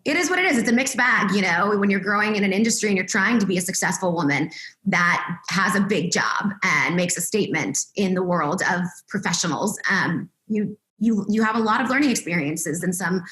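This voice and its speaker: Podcast guest, woman, big projecting voice, bright and forceful, echoey room. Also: medium pitch, expressive, a little fast. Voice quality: loud, projecting voice. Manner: candid, upbeat, laughs often. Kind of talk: casual podcast chat.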